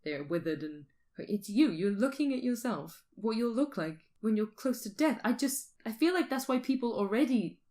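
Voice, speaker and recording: A woman co-hosting a podcast, musing out loud in casual, teasing back-and-forth chat. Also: a little high, lively intonation, moderate pace, hardly any pauses. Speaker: podcast co-host.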